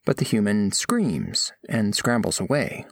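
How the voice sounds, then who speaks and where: Slow, formal alien scholar, acted by a man. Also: lowish voice, big pitch swings, creaky, no echo, studio quality, exaggerated voice. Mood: measured, curious. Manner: measured, precise, formal phrasing. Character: formal, scholarly alien diplomat narrating a first contact. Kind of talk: scripted audio fiction narration.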